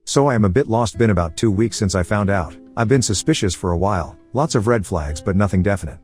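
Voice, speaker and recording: A man narrating a forum post in the first person as a scripted story, with a brisk, steady reading manner. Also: on the low side, faint music behind.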